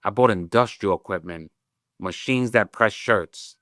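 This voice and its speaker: A man in his fifties from Puerto Rico, a native Spanish speaker, telling his life story as an interview guest in an unhurried, reflective way. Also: fairly low pitch, a bit bright, no echo, studio quality. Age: 50s. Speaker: tech company CEO guest.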